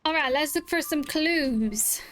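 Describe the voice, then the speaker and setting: A woman's voice: high-pitched, a little slow, fairly bright tone. A woman streaming a game with casual, reactive commentary in short interjections, music playing behind her. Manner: reactive, brief interjections and echoed lines.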